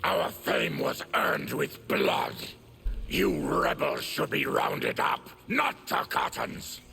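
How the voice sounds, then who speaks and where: An actor playing a bitter, diseased, monstrous former soldier in a fighting game, growling with grim menace. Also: male voice, a little high, huge pitch swings, takes it slowly, sharp tone, slight background noise, exaggerated voice. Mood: grim anger. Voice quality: gravelly, growling. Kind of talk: video game fight intro dialogue.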